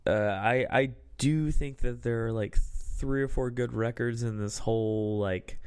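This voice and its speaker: A man's voice: mid-range voice, fairly even intonation, measured pace, darkish tone, smooth. A man hosting a podcast in casual chat, laid-back and rambling, a little drunk. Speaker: podcast host.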